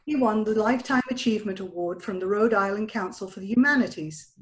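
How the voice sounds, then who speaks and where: A woman's voice: mid-range voice, quick pace. A woman presenting a program, reading a scripted introduction. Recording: roomy online video call sound.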